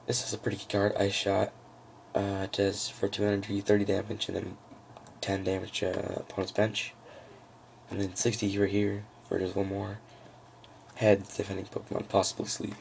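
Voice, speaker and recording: A man with a froggy, gravelly voice giving a casual, unscripted walkthrough of trading cards, halting as he thinks aloud. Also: lowish voice, completely flat delivery, a little hiss or hum. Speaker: trading card hobbyist presenting a deck.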